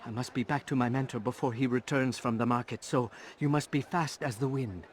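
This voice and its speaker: An actor playing an eager young apprentice informant in a video game, earnest, formal and flattering. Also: male voice, medium pitch, fairly quick, a little hiss or hum, lightly acted. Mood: eager, sheepish.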